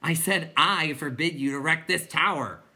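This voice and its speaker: A narrator voicing an earnest, exasperated young boy in a storybook, shouting insistently with indignant pleading. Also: medium pitch, sing-song, moderate pace, voice pushed up.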